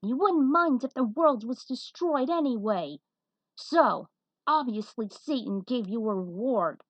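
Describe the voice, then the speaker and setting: A woman voicing a defiant, hot-headed female fighter, loud and scornful, in a story reading. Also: fairly high pitch, animated, measured pace, no room sound, voice pushed up. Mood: angry, scornful. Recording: dull, muffled lo-fi audio.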